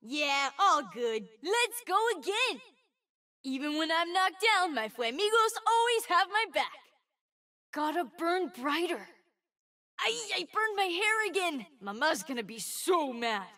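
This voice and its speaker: A woman playing an eager, sweet, boastful twelve-year-old boy fire mage in a video game, with an excitable, earnest, childlike manner. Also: very high voice, unhurried, recorded from a distance, full character voice. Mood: determined, bright. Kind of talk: video game character voice lines.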